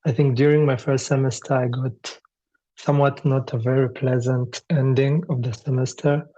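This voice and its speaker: An international student from Rwanda, speaking quietly and reflectively. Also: medium pitch, flat delivery, moderate pace, no echo, muffled recording.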